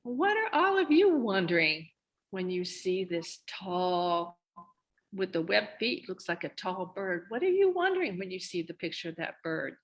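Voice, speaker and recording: A woman co-presenting a children's read-aloud, thinking aloud and asking expressive questions. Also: on the low side, unhurried, highs cut off. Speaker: reading teacher, co-presenter. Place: online video session.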